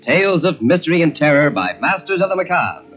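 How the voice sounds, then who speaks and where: Spooky old radio horror host, dramatic swooping voice, loud music behind. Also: male voice, a little high, very animated, dull audio. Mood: dramatic storytelling. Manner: ominous, dramatic, deliberate. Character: ominous male mystery host, macabre storyteller. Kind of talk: 1940s radio horror narration.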